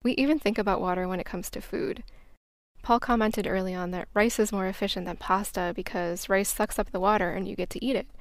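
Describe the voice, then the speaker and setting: A woman's voice: mid-range voice, fairly even intonation, brisk, dry recording. An American woman hosting a podcast, reading a scripted travel story as narration. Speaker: podcast host.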